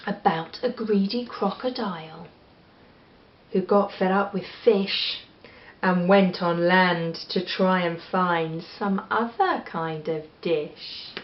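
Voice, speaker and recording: Woman, English accent, expressive storybook reader, measured pace, echoey room, slightly muffled sound. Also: on the low side, a little hiss or hum. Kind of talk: expressive children's story reading.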